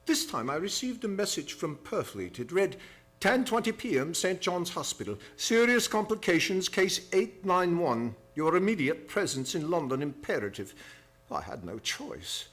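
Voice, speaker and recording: An actor playing a grave, devoted gentleman reading his private journal in a husky voice, in old-time radio drama style. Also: on the high side, exaggerated voice.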